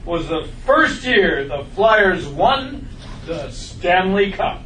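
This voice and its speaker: A man's voice: high voice, big pitch swings, takes it slowly, sharp tone, heavily acted. A man playing a peppy, smug radio contest DJ with announcer-like delivery at a sitcom table read. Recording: roomy, distant, faintly noisy live recording.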